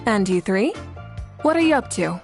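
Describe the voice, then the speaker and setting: A woman's voice: medium pitch, sing-song, moderate pace, faint music behind. A woman playing a confident, good-humored leader in a video game, with a composed, easygoing and teasing manner. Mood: amused, curious.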